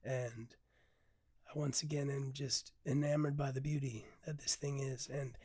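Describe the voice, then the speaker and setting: Hoarse man with a dry close sound. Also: medium pitch, flat delivery, a little slow, no room sound. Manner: candid, unpolished, swears freely, many ums; drops to a hush at times. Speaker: podcast host and poet. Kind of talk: casual reflective monologue with poetry reading.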